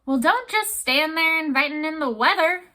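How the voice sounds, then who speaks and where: A woman's voice: high-pitched, animated, unhurried, pushed and bright, heavily acted. A woman doing a bellowing old sea captain. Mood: furious. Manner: roaring, bellowing. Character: gruff blustering old male ship captain.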